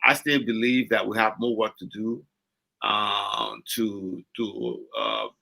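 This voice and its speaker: A man's voice: on the low side, expressive, slow, gravelly, pushed and bright. A man on a radio panel, speaking hesitantly with many fillers.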